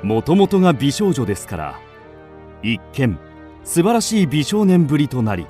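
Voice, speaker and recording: A male narrator tells the story in a mid-pitched, very animated voice. His scripted radio drama delivery is formal and expressive, over faint music. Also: normal speed.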